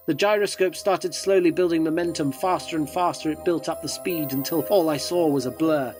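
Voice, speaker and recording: Inquisitive reporter-narrator male actor for radio drama: earnest, probing, dryly descriptive, slightly high voice, music underneath. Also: fairly quick, close to an everyday voice. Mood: uneasy, awed.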